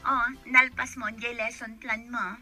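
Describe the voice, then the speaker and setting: A woman's voice: on the high side, little pitch movement, a little slow, bright, forceful tone, soft background music. A woman with a Filipino accent acting a chatty, teasing schoolteacher friend in a radio drama.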